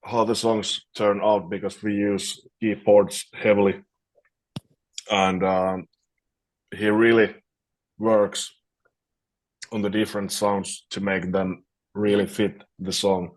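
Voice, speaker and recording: A man's voice: on the low side, level tone, slow, long pauses. A man, a metal guitarist, talking casually in an interview over a video call and often repeating words as he thinks. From Finland.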